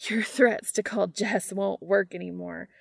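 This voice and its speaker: A man's voice: sits high, fairly even intonation, a little slow, vocal fry, no room sound, clean studio sound, exaggerated voice. A woman voicing an affectionate, amused younger brother in a story, teasing and given to whining and exclaiming.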